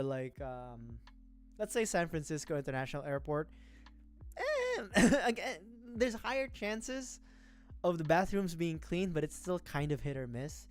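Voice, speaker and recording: A young man from the Philippines, chatting casually and rambling on a livestream, laughing often. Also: fairly high pitch. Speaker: vtuber streamer. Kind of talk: casual chat while building a model kit.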